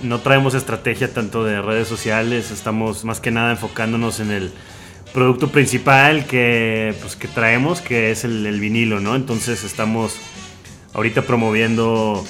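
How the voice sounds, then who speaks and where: A man's voice: on the low side, steady tone, moderate pace, a bit bright, faint music behind. A man, a guest talking casually with slang and many fillers. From Reynosa, Tamaulipas. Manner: slangy, hesitant, lots of fillers. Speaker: guest, record label founder. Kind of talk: casual chat.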